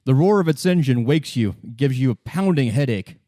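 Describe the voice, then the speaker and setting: A man with a mid-pitched voice acts as game master. He narrates a tabletop role-play in a conversational style, recorded close and dry. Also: lively intonation, moderate pace, dark tone, no room sound.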